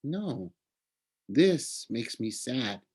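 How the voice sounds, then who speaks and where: A man's voice: mid-range voice, big pitch swings, extremely slow, darkish tone, slightly rough, full character voice. A man voicing an earnest, easily indignant young male satyr in a storybook reading, lecturing in a plaintive way. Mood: sad.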